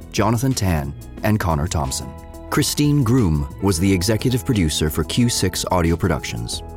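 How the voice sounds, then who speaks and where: Male narrator for scripted audio fiction, fairly low pitch, light music bed. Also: a little mellow.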